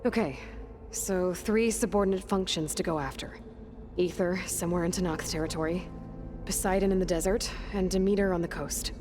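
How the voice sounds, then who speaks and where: A woman playing an earnest, burdened young hunter in a video game, with a low-key, determined delivery. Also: normal speed, music in the background, close to an everyday voice. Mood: focused. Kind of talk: naturalistic video game cutscene acting.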